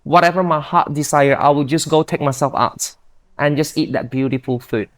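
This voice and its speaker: A woman's voice: low-pitched, moderate pace, dry recording. Upbeat Indonesian-accented woman, dry sound. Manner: upbeat, earnest, non-native grammar. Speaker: guest, bodybuilder. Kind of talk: casual interview chat.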